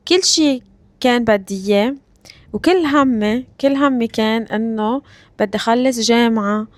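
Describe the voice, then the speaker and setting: A woman in her thirties from Lebanon, with a Lebanese Arabic accent, hosting a language-learning podcast. She talks slowly and deliberately, repeats phrases and checks in with her listeners. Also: on the high side, no room sound. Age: 30s. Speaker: Arabic teacher and podcast host. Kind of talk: slow, clear podcast lesson for learners.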